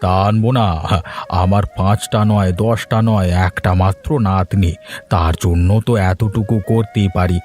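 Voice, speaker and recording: A man voicing a gentle, doting old grandfather in a cartoon, speaking slowly in a lowered, kindly voice. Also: steady tone, soft background music, close to an everyday voice. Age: older. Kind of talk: cartoon voice acting.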